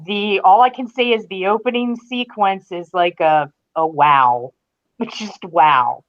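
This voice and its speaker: A woman's voice: medium pitch, measured pace. A woman guesting on a podcast, chatting casually and with enthusiasm. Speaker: podcast guest, entertainment writer. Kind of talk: casual chat. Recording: slightly dull, lo-fi call audio.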